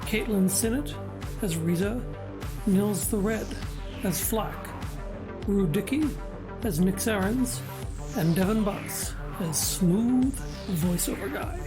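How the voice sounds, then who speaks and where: A woman's voice: mid-range voice, slow pace, long pauses. A woman reading scripted closing credits as an announcer for an audio drama. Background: music.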